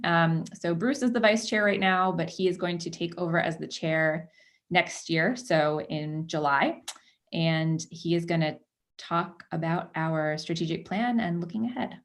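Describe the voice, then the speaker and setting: Woman, board chair, slightly low pitch, delivering a prepared update over a video conference. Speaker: outgoing chair of a market board. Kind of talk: prepared presentation at a public meeting.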